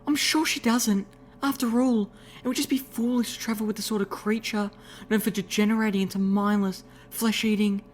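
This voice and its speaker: A man's voice: high voice, steady tone, normal speed, voice pushed up, close to an everyday voice. A man voicing a ladylike, sarcastic female unicorn with an airy, drawling manner in an audiobook story. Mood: mock-innocent, catty.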